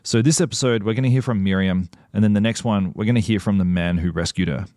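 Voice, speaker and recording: A man with a fairly low voice narrates and interviews in a measured, earnest way. The sound is dry and close, with no room around it. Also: darkish tone, dry recording. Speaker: podcast host.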